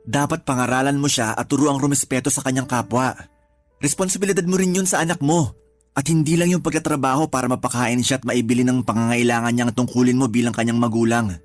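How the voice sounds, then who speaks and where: A man's voice: mid-range voice, natural voice. A man with a Filipino accent acting a weary, hurt live-in partner in a radio drama, earnest and reproachful. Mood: earnest, admonishing.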